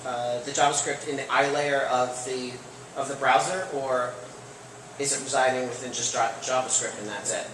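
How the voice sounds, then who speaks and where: A man's voice: mid-range voice, steady tone, moderate pace. Male audience questioner, hesitant, distant and roomy with background noise. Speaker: audience member asking. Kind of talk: question from the floor. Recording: echoey hall, distant, noisy.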